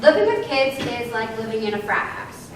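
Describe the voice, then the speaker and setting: A woman reads dramatically on stage in a high voice. She declaims each phrase deliberately, and the sound is very reverberant, with clear background noise. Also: big pitch swings. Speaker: readers theater performer. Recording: very echoey hall, far microphone, noisy hiss.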